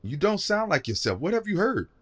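A man's voice: sits high, big pitch swings, a little fast, fairly bright tone, exaggerated voice. A man playing a proud, guarded politician under suspicion in an audio drama. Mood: surprised, uneasy.

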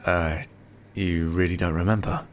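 Low, weary male colleague. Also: fairly even intonation, a little slow, a little hiss or hum. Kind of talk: naturalistic audio drama acting.

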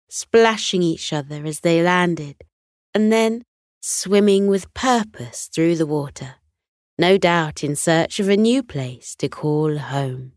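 Unhurried woman reading a children's bedtime story. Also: on the low side, darkish tone, no echo, clean studio sound.

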